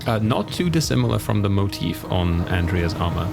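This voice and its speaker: A man's voice: low voice, big pitch swings, normal speed, vocal fry. A man playing a tabletop game, asking eager questions about the action. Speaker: player. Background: background music.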